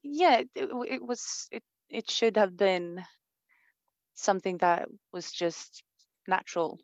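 A woman's voice: medium pitch, animated, a little slow, no room sound, dull audio. A woman from Sweden with a Swedish accent, speaking thoughtfully in an interview, with many fillers and restarts. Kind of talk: reflective conversation.

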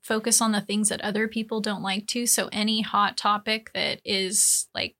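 Bright-voiced woman chatting. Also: medium pitch, flat delivery, moderate pace. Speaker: podcast co-host and counselor. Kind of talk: casual chat.

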